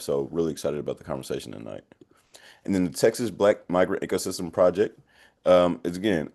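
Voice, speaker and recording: A man's voice: very deep voice, darkish tone. A man moderating a webinar panel, introducing guests in an upbeat way and often repeating his thanks.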